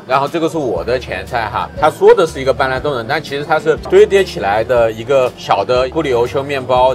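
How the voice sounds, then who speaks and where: A man hosting a food vlog, chatting casually and explaining as he goes. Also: mid-range voice. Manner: conversational, explanatory. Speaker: food vlogger, host. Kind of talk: casual on-location food review.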